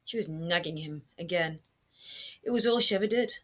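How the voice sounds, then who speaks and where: Bright-voiced woman reading her own novel. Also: fairly low pitch, normal speed. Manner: expressive, steady storytelling. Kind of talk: fiction reading aloud. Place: home room lined with bookshelves. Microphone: webcam framing, seated close to camera.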